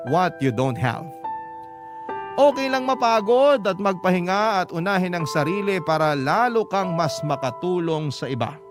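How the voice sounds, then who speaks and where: A man voices a breadwinner reading out a heartfelt letter in a radio drama. The delivery is sincere and reflective, a little high. Music plays clearly under the voice. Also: natural voice. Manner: heartfelt, sincere, preachy at times. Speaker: narrator of a letter. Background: background music.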